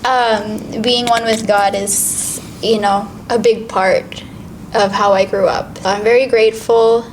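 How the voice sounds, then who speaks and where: A woman in her twenties from the Philippines, co-hosting a casual podcast chat in a soft, reflective way. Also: medium pitch, flat delivery, slow pace, a little hiss or hum, rough recording. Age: 20s. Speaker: co-host.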